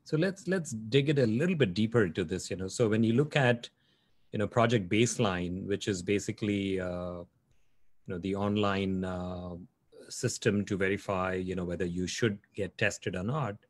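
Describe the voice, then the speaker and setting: A man's voice: on the low side, normal speed, no room sound, clean studio sound. A man in his forties hosting a video call interview, earnest, with frequent "you know". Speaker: host. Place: home office.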